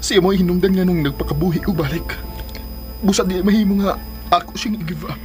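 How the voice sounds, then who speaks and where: A young Filipino man played by an actor argues his love with stubborn anguish in a fairly high voice. The delivery is radio melodrama, with clear music underneath. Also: a little fast, close to an everyday voice. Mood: insistent. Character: lovesick grown son, stubborn and anguished. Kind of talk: Philippine radio drama acting.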